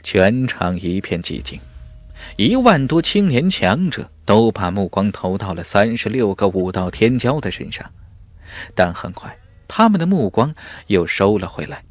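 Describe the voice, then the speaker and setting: A man's voice: lowish voice, dry recording. A man narrating a fantasy audiobook in a dramatic, scripted storytelling style.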